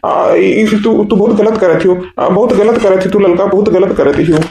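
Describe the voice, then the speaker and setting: A man's voice: sits high, warm tone, slight background noise. A man voicing a helpless, henpecked husband in a village cartoon comedy, pleading and repeating himself in an eastern Uttar Pradesh accent. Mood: pleading, cowed. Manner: pleading, repetitive, halting. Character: henpecked, helpless village husband caught between two wives. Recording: echoey room sound.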